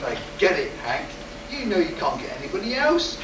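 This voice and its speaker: A man's voice: sits high, huge pitch swings, fairly quick. A man playing a cocky, wisecracking rocket test pilot in a vintage science-fiction radio play, with breezy, wry bravado. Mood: wry, reassuring. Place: convention hall, live stage performance. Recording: echoey hall, distant mic, noisy room.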